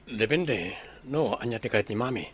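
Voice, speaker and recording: Husky, hesitant man. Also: medium pitch, expressive, normal speed. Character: hesitant, lovesick man chatting with his buddy. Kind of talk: Ilocano radio drama acting.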